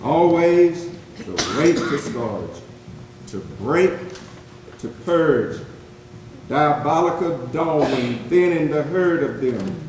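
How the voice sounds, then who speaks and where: A man performing a solemn poet-witness who mourns the horrors of slavery, reciting dramatic verse in a declamatory, deliberate way with weighted pauses. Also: medium pitch, a little mellow. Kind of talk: dramatic spoken-word poetry recitation, readers theatre. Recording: very echoey hall, distant mic, faint noise.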